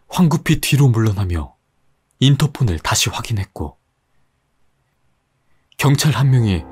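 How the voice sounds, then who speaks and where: Low, expressive horror story narrator. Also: male voice, breathy edge.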